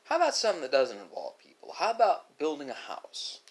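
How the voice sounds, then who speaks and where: A man speaking off the cuff to his viewers in a philosophical monologue, halting often and correcting himself as he goes. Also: fairly high pitch, huge pitch swings. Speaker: ASMR video creator. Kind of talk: unscripted musing monologue to viewers during a mukbang.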